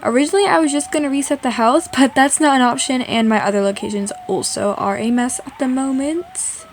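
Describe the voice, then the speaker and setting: Female gaming video host from Canada, high-pitched, informal and chatty, casual narration over faint music. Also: animated, brisk.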